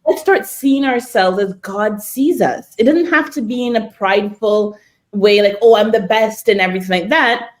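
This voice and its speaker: A woman's voice: mid-range voice, normal speed. A woman co-hosting a faith podcast, speaking earnestly and encouragingly to listeners. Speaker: podcast co-host. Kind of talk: casual faith podcast chat.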